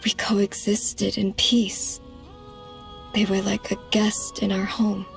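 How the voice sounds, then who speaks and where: A woman playing a dignified ancient moon goddess in a game cutscene, speaking in a measured, formal way. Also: medium pitch, completely flat delivery, creaky. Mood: solemn. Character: solemn, composed ancient moon goddess, elder sister. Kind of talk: dramatic game cutscene acting. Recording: background music under the voice.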